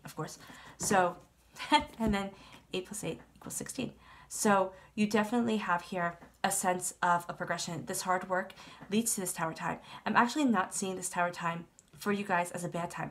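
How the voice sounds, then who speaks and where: A woman reading tarot for her channel, congested with a cold, talking in a soothing, reassuring way and often repeating herself. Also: lowish voice. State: stuffed up from a cold. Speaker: tarot reader. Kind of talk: tarot reading monologue to camera.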